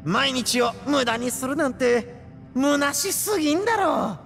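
An actor voicing a brash, boastful young male gang boss in a game, talking in rough, swaggering slang. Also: very high voice, animated, unhurried, a bit bright, full character voice. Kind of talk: game voice acting. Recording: roomy game audio with music.

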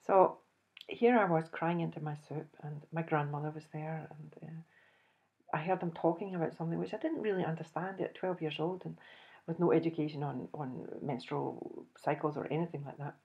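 A woman in her fifties with a Scottish accent, telling a personal story to camera in an animated, chuckling way. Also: sits low, moderate pace, gravelly, lo-fi sound. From Scotland. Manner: animated, chuckles often, acts out dialogue. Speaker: host. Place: indoors, small room, plain backdrop.

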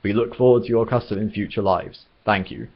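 Man acting old announcer, storybook, formal, courteous, coldly menacing, husky, low-pitched. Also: fairly quick, muffled recording. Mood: cold menace.

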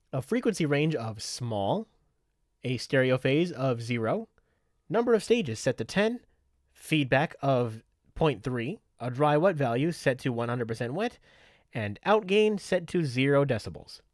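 Male tutorial presenter. Methodical, step-by-step instruction, mid-pitched, recorded dry and close. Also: animated, unhurried, no room sound. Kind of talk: step-by-step software tutorial narration.

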